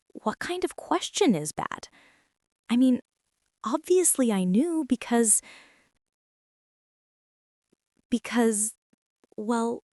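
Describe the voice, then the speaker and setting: A woman's voice: fairly high pitch, darkish tone, dry recording, clean studio sound. A young woman playing a bossy, defensive college girl with a secret crush, rambling and teasing straight to the listener in an intimate girlfriend ASMR roleplay. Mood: flustered, evasive.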